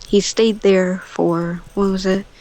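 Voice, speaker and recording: A woman's voice: mid-range voice, steady tone, slow, mellow, poor audio. A woman telling a story casually and off the cuff, rambling, with offhand asides. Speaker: storyteller on a gaming channel.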